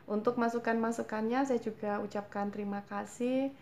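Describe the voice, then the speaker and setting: A woman's voice: on the high side, steady tone, fairly quick, a little mellow, a little hiss or hum. Javanese-accented woman explaining baking. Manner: patient, explanatory, frequent hesitation fillers, addresses viewers as mothers. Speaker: cooking channel host. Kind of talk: home baking tutorial answering viewer questions.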